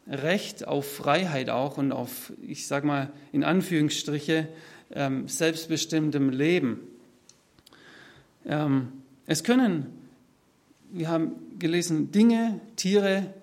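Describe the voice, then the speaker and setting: A man preaching a sermon, explaining step by step and speaking freely to his listeners. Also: a little high. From Swabia, southern Germany. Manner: explanatory, structured, addresses listeners directly. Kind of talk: sermon, spoken freely from notes to a congregation.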